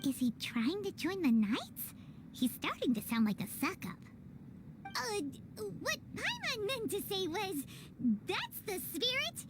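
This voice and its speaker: Squeaky, very high little fairy. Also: female voice, wildly swooping pitch, measured pace, heavy background noise, heavily acted. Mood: blunt. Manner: squeaky, refers to self in third person. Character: tiny childlike female fairy companion, cheeky and blunt. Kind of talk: cartoonish video game acting.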